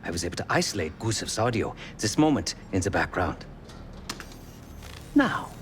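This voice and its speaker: An actor playing a precise, slightly sheepish tech expert in a video game cutscene, with a hesitant, dryly formal way of talking. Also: male voice, deep voice, sing-song, moderate pace, fairly bright tone, slight background noise. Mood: focused.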